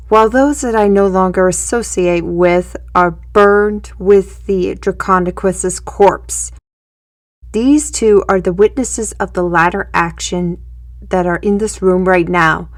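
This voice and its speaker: A woman playing a composed, defiant steward in a fantasy audio drama, formal and deliberate. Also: mid-range voice, no echo, close to an everyday voice.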